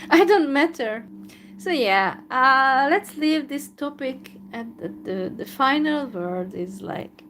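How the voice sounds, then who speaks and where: A woman with a Hungarian accent speaks slowly in a somewhat high voice. She is talking in a live video monologue, sounding resigned and weary. She hesitates often and trails off between thoughts. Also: lively intonation, fairly bright tone, a little hiss or hum. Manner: downcast, resigned and hesitant, with many pauses. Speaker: YouTuber.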